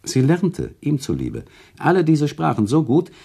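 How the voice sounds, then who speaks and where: An actor playing a grave, compassionate author in a German radio play, telling his story in measured, literary, earnest speech with a low gravelly voice. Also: wildly swooping pitch, dark tone, full character voice. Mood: earnest recounting. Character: grave, compassionate male author recounting a friend's tragic love.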